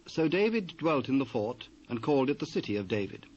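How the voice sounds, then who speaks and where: A man's voice: mid-range voice, very animated, a little mellow. British male narrator, muffled old tape. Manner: measured, formal. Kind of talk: scripted narration, dramatised Bible reading. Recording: dull, noisy old cassette.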